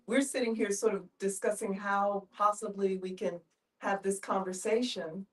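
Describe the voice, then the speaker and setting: A woman's voice: medium pitch, steady tone, normal speed. Earnest woman, recorded from a distance. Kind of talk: reflective group discussion.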